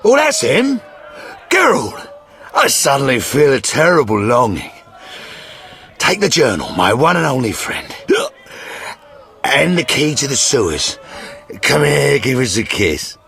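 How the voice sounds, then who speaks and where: A crude mercenary sergeant from a fantasy game. His mid-pitched voice swings widely as he blusters and boasts with theatrical sentiment. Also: big pitch swings, unhurried, fairly bright tone. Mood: drunken affection. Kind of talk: fantasy video game dialogue.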